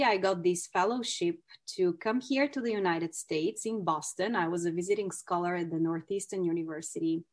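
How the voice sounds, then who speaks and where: A woman from Bulgaria with a Bulgarian accent, a clinical psychologist giving an effusive online training talk over a video call, with non-native phrasing. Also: medium pitch.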